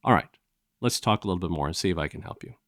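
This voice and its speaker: A man hosting a review show, casually retelling a story in a halting, conversational way and acting out bits of dialogue. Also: sits low, animated, a bit bright, no echo, studio quality. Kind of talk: casual discussion and retelling of an audio drama.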